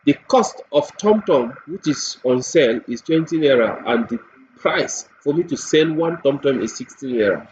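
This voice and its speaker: A man with a Nigerian accent giving a software tutorial walkthrough, explaining step by step and repeating points with everyday examples. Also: medium pitch, lively intonation, normal speed. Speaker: software demonstrator. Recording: dull lo-fi mic with faint noise.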